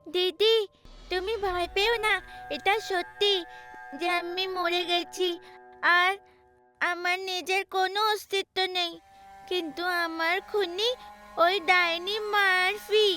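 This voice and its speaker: A woman voicing the sorrowful ghost of a murdered young girl in a horror story, speaking plaintively and haltingly. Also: extremely high pitch, steady tone, bright, forceful tone, faint music behind, heavily acted. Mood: sad, reassuring. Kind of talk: acted horror story dialogue.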